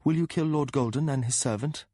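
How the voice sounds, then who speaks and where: A man's voice: mid-range voice, fairly quick, mellow, no echo, voice pushed up, lightly acted. Anxious pleading young prince in a storybook, done by a man, a bit raised voice, dry close sound.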